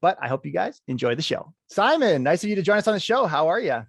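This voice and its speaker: A man's voice: sits high, big pitch swings, quick pace, creaky, no echo, clean studio sound. A man hosting an interview show, greeting his guest and asking questions in an upbeat, friendly way. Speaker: host.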